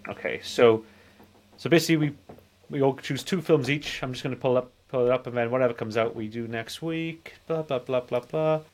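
A man's voice: medium pitch, a little fast, darkish tone. A man in his forties rambling through casual film banter as a podcast co-host. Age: 40s.

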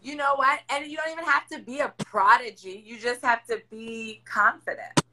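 A woman hosting a podcast, with a raspy voice, talking loudly and emphatically in casual chat. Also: a little high, steady tone, moderate pace, poor audio. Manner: loud, emphatic, encouraging. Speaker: podcast host. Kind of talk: casual chat over a video call.

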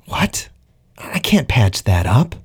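A man reads the part of a stubborn, injured woman who pilots a spacecraft in an audio story. The delivery is groggy and strained, very low and husky, with a protesting edge. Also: unhurried, darkish tone, exaggerated voice. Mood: alarmed, protesting.

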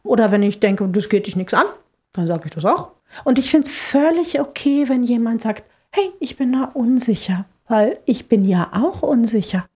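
A woman interviewee answering frankly and in a relaxed way. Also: on the high side, moderate pace, slightly rough.

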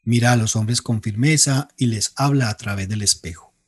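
A man's voice: fairly low pitch, moderate pace, slightly rough. A man reading scripted narration for a radio drama.

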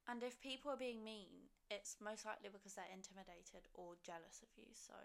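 Young woman in her twenties, vlogger, frank and sweary, slight vocal fry, mid pitch. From Poland. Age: 20s. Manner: candid, swears casually, confiding. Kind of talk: casual Q&A vlog chat. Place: her own home.

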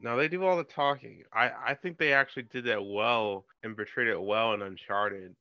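A man co-hosting a podcast in casual chat, easygoing and quick to laugh along. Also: lowish voice, no room sound. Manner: agreeable, laughing often. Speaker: podcast co-host. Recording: dull lo-fi mic.

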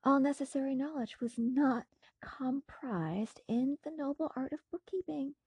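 A woman voicing an eager, cheerful young male friend in an audiobook, with a husky voice and an effusive, exclamatory manner. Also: high-pitched, measured pace, darkish tone, no room sound, pitched above the performer's own voice. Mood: amused exasperation. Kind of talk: dramatised audiobook reading.

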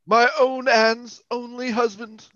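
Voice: mid-range voice, level tone, slow pace, fairly bright tone. A performer playing an ardent, overwrought male lover in a comic radio drama, heard through slightly dull video-call audio. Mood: devoted, menacing. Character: ardent, melodramatic lover, male, casually murderous. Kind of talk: over-the-top comic radio romance acting.

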